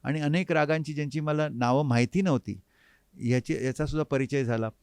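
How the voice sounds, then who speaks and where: A man, reminiscing reflectively as an interview guest and often pausing mid-sentence to find his words. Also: a little high, steady tone, a little mellow, smooth, dry recording, clean studio sound. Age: 50s. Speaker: interview guest, harmonium player. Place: recording studio.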